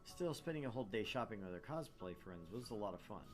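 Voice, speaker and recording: A man's voice: lowish voice, expressive, fast talker, soft background music. A man narrating a visual novel as a gaming host, with irreverent asides.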